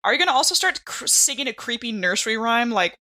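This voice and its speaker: Bright-voiced, giggly woman at a game table. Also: on the high side, fast talker. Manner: giggly, expressive reactions. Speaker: player in a tabletop roleplaying game. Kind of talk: casual game-table chat.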